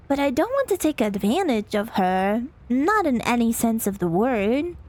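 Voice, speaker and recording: Visual novel heroine read by a woman: overworked office lady, dry asides, highish voice, slow delivery. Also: darkish tone. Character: overworked office lady narrator, wry, reluctant and kindly.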